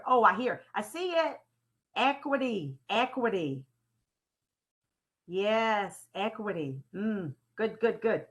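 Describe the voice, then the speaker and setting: A woman leading an interactive training talk in a deliberate, teacherly way, putting questions to her audience and repeating key phrases. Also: fairly high pitch. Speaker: presenter leading a training session. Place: online conference call with slides.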